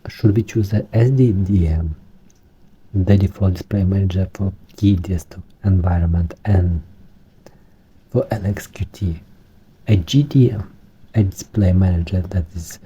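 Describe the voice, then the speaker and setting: A man with a Romanian accent, speaking English as a second language, talking through a computer setup in a halting way with many restarts. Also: deep voice, mellow, husky, muffled recording. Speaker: tech vlogger. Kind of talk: screen-recorded tutorial.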